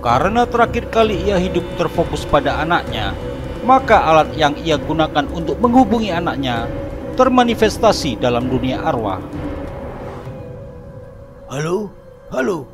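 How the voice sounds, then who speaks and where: A man's voice: fairly high pitch, moderate pace, music in the background. A man narrating a horror cartoon in a dramatic, scripted storytelling style. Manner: dramatic, expressive.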